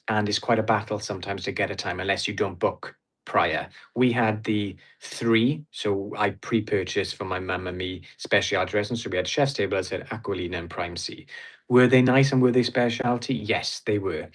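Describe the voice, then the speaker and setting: Blunt low-voiced Welsh man. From Wales. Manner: blunt, opinionated, enthusiastic reviewer. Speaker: cruise creator guest. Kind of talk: casual podcast chat.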